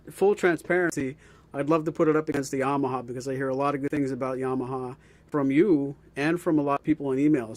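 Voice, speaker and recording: A man's voice: on the high side, a little mellow. A man who owns a music shop, co-hosting a casual livestream and speaking hesitantly with many fillers. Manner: hesitant, filler-heavy, trails off.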